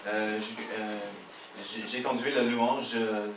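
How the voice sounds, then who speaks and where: A man's voice: fairly low pitch, fairly even intonation, slight background noise. Male pastor, American-accented French with Québécois touches, hesitant and joking, miked from afar in a reverberant hall. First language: English. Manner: halting, many filler sounds, jokes with the audience. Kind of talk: sermon. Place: church hall. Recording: echoey hall heard from a distance.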